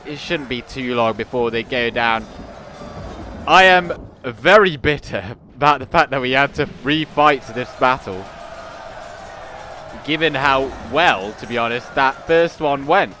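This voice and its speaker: A man's voice: mid-range voice, unhurried, bright, forceful tone, a little hiss or hum. A young man with a cold and a bunged-up nose, chatting casually as he plays a strategy game and rambling with frequent self-corrections. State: congested with a cold. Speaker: gaming video host.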